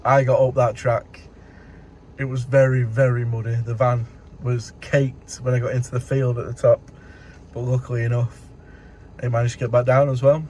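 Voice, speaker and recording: A man's voice: mid-range voice, level tone, normal speed, darkish tone, slight background noise, rough recording. A man giving a casual, rambling vlog update as a courier driver, in colloquial everyday speech.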